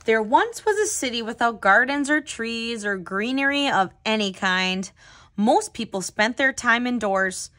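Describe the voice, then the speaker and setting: A woman hosting a children's read-aloud as a tutor, telling the story with clear, expressive phrasing. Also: on the high side, a little slow.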